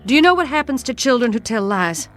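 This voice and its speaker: A cold, embittered mother in a vintage drama. Her voice is fairly high and swings sharply in pitch, with curt, scolding phrasing. Music runs underneath. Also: sing-song, fast, a bit bright. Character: cold, stern, embittered mother of two children. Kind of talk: classic television drama acting.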